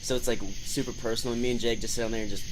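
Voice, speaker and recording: A man's voice: mid-range voice, fairly even intonation, very fast. Young american guy just chatting, slang and cussing, noisy recording. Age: young adult. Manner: slangy, profane. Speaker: podcast co-host. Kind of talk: casual chat.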